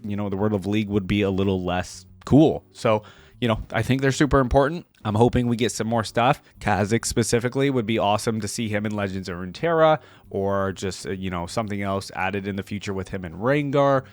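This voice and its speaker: A man's voice: on the low side, fairly quick, no echo. A man hosting a game reaction video with casual, conversational, rambling commentary.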